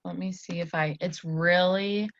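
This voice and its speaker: Low-pitched woman teaching an online class. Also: fairly even intonation, a little slow, muffled recording. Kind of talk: online lesson, reading captions aloud and chatting to pupils. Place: indoors on a video call.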